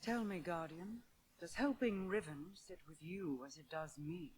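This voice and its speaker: A woman playing a regal, wary queen in a video game, speaking in a grave, measured, commanding way. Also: lowish voice, slightly rough. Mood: grave distrust. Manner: measured, solemn, stately. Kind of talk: dramatic game cutscene dialogue.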